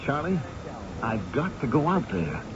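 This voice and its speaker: An actor playing an intense, driven investigator in a radio drama, speaking in terse, urgent questions. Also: male voice, mid-range voice, big pitch swings, a little slow, over a noisy crowd. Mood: determined. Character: intense, driven man investigating a strange desert creature. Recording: very noisy, muffled old radio recording.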